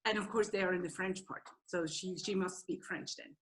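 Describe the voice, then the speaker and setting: A woman's voice: on the low side, fairly even intonation, a little fast. A woman from Switzerland, a native Swiss German speaker, chatting as a guest on a video call and laughing often. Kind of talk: casual video call chat.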